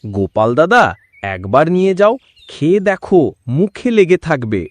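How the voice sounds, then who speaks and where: A man's voice: a little high, sing-song, unhurried, no echo. A man playing a poor, hopeful, devout village farmer in a cartoon, exclaiming in an earnest, folksy way. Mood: calling out. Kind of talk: Bengali cartoon voice acting.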